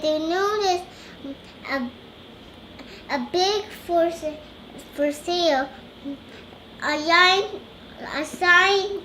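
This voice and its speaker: A young girl reading a children's storybook aloud, halting and stumbling over words. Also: extremely high pitch, sharp tone, a little hiss or hum. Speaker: young reader learning to read aloud.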